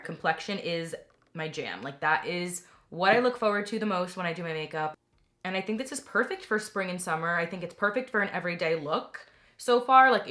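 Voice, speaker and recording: A young woman talking through a makeup product review in a chatty, enthusiastic way, her voice slightly raspy from a recent cold. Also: fairly low pitch, a bit bright. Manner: chatty, enthusiastic, many "like" fillers. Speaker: beauty vlogger.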